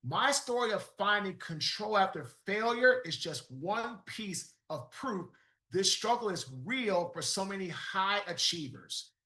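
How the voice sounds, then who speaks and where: Animated, emphatic podcast host. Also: male voice, high-pitched, unhurried, echoey room. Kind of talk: podcast interview with ad read.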